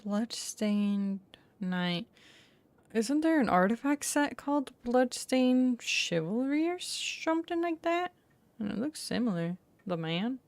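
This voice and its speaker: A woman streaming a game with casual reaction commentary, musing aloud and correcting herself, in a creaky voice. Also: a little high, animated, slow, dry recording.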